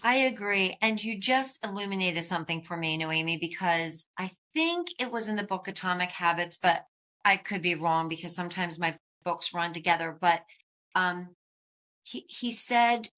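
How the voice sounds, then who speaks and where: Bright-voiced American woman hosting a podcast. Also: lowish voice, expressive, moderate pace. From United States. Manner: chatty, laughs often, reflective. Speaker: podcast host. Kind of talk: casual interview chat.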